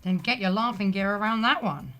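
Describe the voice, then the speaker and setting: An actress with a British accent playing a blunt, impatient office worker, dry and curt, in an audio comedy. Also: female voice, mid-range voice, brisk, a bit bright, faint music behind, close to an everyday voice. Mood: dry, casual. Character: blunt, impatient, sardonic female bureau worker.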